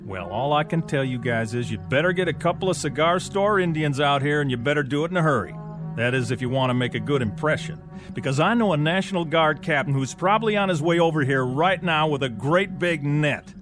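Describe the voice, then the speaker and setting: An actor playing a skeptical, wisecracking army soldier in a radio drama, with a sarcastic, blustering delivery. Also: male voice, on the high side, animated, brisk, music in the background, natural voice. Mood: scoffing.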